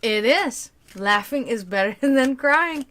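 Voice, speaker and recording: A woman's voice: fairly high pitch, animated, slow, fairly bright tone. A woman in casual livestream chat, giving tarot readings, rambling and laughing often.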